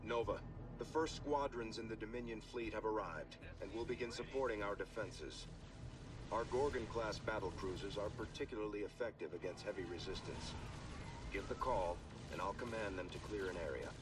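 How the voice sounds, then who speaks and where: An actor playing a composed, dutiful fleet commander giving formal briefings in a video game. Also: male voice, fairly low pitch, normal speed, recorded from a distance, noisy background, lightly acted. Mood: composed, urgent. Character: composed, dutiful male military fleet admiral. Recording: loud game music and effects behind.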